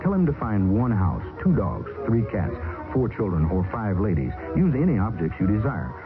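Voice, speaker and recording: A man reading a public service announcement on the radio, brisk, friendly and instructive. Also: on the low side, wildly swooping pitch. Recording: tinny 1970s radio broadcast with music.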